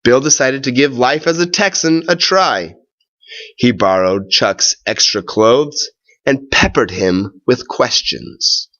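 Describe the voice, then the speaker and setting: A man reading a storybook aloud with expressive, deliberate, dramatic phrasing. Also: mid-range voice, muffled recording.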